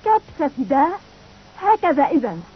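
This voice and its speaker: An actress playing a gentle, principled young princess in a cartoon dub, earnest and sweet. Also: female voice, sits high, sing-song, moderate pace. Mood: indignant. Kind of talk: dubbed cartoon acting. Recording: tinny old cartoon dub, faint noise.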